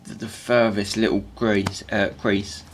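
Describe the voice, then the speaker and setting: Man, croaky morning voice, halting repeated words, casual tutorial talk, faint workshop hum behind. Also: on the low side, flat delivery.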